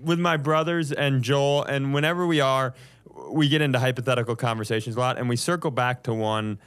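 A man's voice: mid-range voice, fairly even intonation, fairly quick, fairly bright tone, clear, resonant tone. A man co-hosting a comedy podcast, chatting casually in a joking, profane way.

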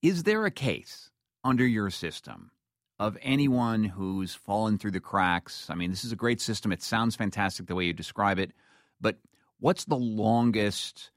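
Lowish-voiced male interviewer, dry sound. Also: dry recording. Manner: direct, plain-spoken, rephrases points colloquially. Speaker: interviewer, host. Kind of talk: conversational radio interview questioning.

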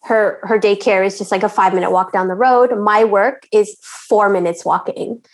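A woman's voice: medium pitch, steady tone, normal speed. A woman from Canada chatting as a podcast guest, laughing often and restarting her phrases as she talks. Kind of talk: casual chat.

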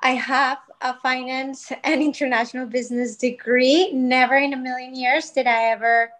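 A woman's voice: high-pitched, fairly even intonation, moderate pace, a bit bright. A woman in her thirties from Colombia, telling her own story as a podcast guest in a candid, informal, conversational way. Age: 30s. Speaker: podcast guest, wellness business founder.

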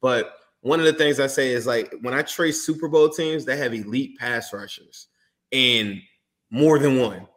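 A man's voice: medium pitch, unhurried, fairly bright tone. A man hosting a casual sports podcast, chatty and rambling as he asks long, enthusiastic questions. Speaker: podcast host.

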